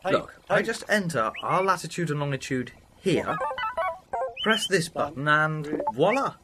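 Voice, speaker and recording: A man playing an eccentric, blustering time traveler, theatrical and quick to bluff. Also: a little high, lively intonation, over loud music. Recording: noisy amateur recording.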